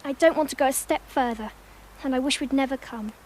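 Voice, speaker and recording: A woman's voice: high voice, a little fast, darkish tone, slight background noise, lightly acted, rough recording. An actress playing a cautious, reluctant older schoolgirl in a radio drama. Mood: anxious, reluctant.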